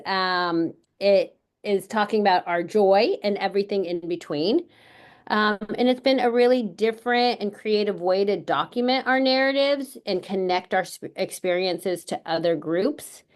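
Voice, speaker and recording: Woman, middle-aged, educator, from California, earnest, measured, lots of um, mid pitch. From California, United States. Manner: earnest, measured, frequent "um" fillers. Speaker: educator, panel speaker. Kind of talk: panel talk at a book launch.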